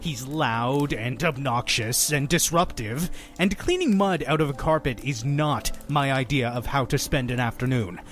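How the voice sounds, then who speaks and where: A man playing a fussy, sardonic innkeeper who tells dark horror tales in a theatrical, deliberate way for an audio drama. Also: medium pitch, natural voice. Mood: exasperated, sarcastic. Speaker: storytelling host of a horror anthology.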